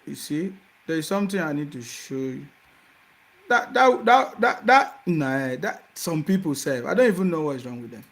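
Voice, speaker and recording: High-voiced call-in host. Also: male voice, very animated, moderate pace, gravelly, a bit bright. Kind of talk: phone-in talk show.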